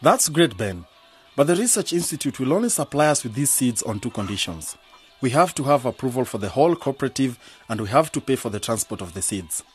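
An actor playing a blunt, insistent young graduate with a hot temper in a radio drama. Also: male voice, medium pitch, big pitch swings, a little fast, husky, faint music behind. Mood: matter-of-fact. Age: young adult. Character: hot-headed, suspicious young male graduate activist.

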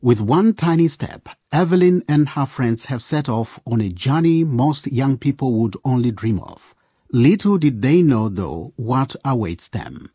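A man's voice: mid-range voice, lively intonation, measured pace, warm tone, breathy edge. A man narrating a scripted radio drama series as presenter, addressing listeners directly like a storyteller. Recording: dry, slightly dull lo-fi recording.

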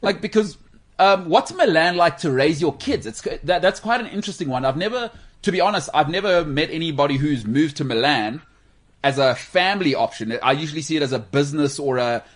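A man hosting a casual chat, asking questions with quick stammering restarts. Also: on the high side, animated. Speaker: host.

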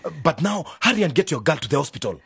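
A man's voice: fairly high pitch, very animated, very fast, a bit bright, breathy edge, dry recording, studio quality, full character voice. An actor playing a harried young father who talks in a coaxing, exasperated way in a radio drama. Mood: firm. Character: harried young father, patient but frazzled.

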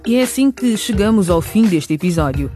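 A man's voice: sits high, lively intonation, a little fast. A man announcing in a scripted radio narration style, with a presenter's delivery. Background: music.

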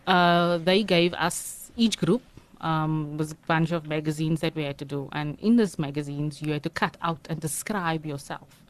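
A South African woman in her forties, a radio studio guest, telling her story nervously and haltingly with many fillers and restarts. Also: low-pitched, steady tone. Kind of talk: personal interview talk. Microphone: studio microphone.

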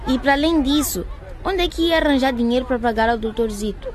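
A woman acts out a teenage African schoolgirl in a radio serial. Her voice is high, and she sounds anxious and pleading, with a faint bustle of people behind her. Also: steady tone, quick pace, some chatter behind, lightly acted. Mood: worried, pleading. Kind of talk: radio drama acting.

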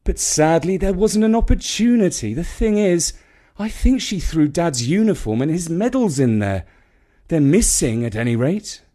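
A man voicing a mild, put-upon father in a children's story, speaking in a measured, wry way. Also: on the high side, expressive, mellow, pitched above the performer's own voice, lightly acted. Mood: rueful.

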